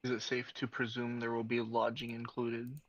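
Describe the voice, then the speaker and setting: A man's voice: mid-range voice, monotone, moderate pace, dry recording, lo-fi sound. A man playing a tabletop game, casually describing what his character does. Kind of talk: casual roleplay, describing actions.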